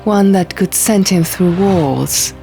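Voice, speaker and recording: A woman's voice: lowish voice, takes it slowly, gravelly, music in the background. Woman playing a chatty young king, musical backdrop. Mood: amused storytelling. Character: young king, merry, chatty, tipsy storyteller.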